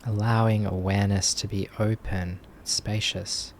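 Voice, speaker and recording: A man leading a guided meditation as a teacher, speaking gently in short phrases with long pauses. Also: fairly low pitch, level tone, slight background noise. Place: live online group session.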